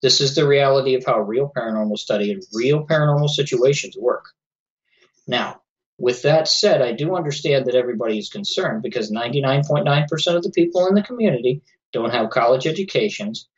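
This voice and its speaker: A man's voice: mid-range voice, brisk. A man hosting a paranormal radio show, talking earnestly and lecturing his listeners while losing his voice. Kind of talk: solo talk radio monologue. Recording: slightly dull lo-fi audio.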